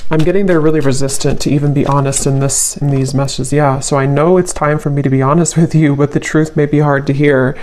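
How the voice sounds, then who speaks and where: Someone doing a casual tarot reading, mid-pitched voice, kinda halting, thinks out loud as they go. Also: level tone, slight background noise. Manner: halting, thinking aloud, repeats phrases. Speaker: tarot reader.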